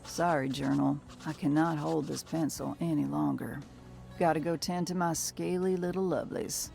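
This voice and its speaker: A weary woman bounty hunter in a video game, musing with dry, salty wit. Her voice is gravelly with plenty of fry, and soft music sits behind it. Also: sits low, steady tone, moderate pace. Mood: wry, reflective. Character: weary, salty female bounty hunter, dinosaur-loving misanthrope. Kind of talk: video game journal narration and dialogue.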